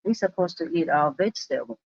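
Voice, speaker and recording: A man from Ethiopia hosting a casual podcast chat, eager and quick to chime in with agreement. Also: a little high, expressive, creaky, no echo, dull audio. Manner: eager, chiming in with short agreements.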